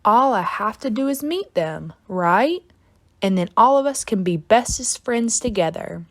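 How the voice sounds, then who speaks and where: A woman voicing an excitable, dramatic first-grade girl in a storybook, gushing with eager, childlike exclamations. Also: a little high, measured pace. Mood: pleading. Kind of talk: children's storybook read-aloud.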